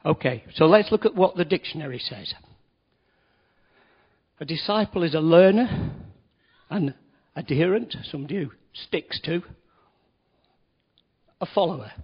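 Male lay preacher, fairly high husky voice, chatty with wry asides, muffled low-fi recording. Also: animated, unhurried, long pauses. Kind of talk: conversational sermon. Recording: dull lo-fi recording.

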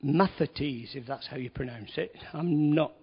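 Male lay preacher, fairly high husky voice, chatty with wry asides, muffled low-fi recording. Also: a little slow. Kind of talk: conversational sermon. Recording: dull lo-fi recording.

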